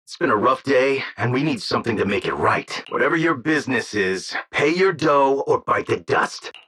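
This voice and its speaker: A gruff bandit thug for a video game. He is belligerent and crude, with a hard, forceful, husky voice that jumps around in pitch. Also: lively intonation, moderate pace. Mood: menacing. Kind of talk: dramatic video game voice acting.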